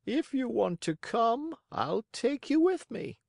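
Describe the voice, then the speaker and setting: A storyteller voicing a kindly, helpful talking pigeon in a high voice with a measured, gently instructive manner. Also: very animated, vocal fry, no room sound, clean studio sound, full character voice. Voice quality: high-pitched.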